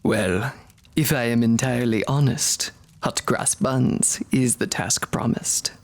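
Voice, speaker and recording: Woman as a very deep solemn zebra shaman. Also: exaggerated voice. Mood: solemn, measured. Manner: measured, formal, rhyming. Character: solemn male zebra shaman who speaks in rhyme.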